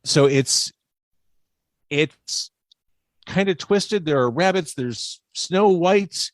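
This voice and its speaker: A man hosting a podcast interview over a video call, chatty and quick to laugh at his own fumbles. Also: fairly high pitch, expressive, dry recording. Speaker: podcast host. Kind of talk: casual interview chat.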